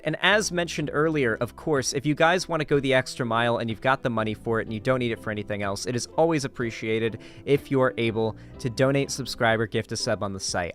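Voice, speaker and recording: A man hosting a live stream, chatting casually and informally with his viewers in an animated way. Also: mid-range voice. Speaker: livestream host.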